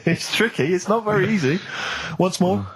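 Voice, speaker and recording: A man's voice: high-pitched, lively intonation, moderate pace, vocal fry, loud music behind the voice. Man bantering as a radio host.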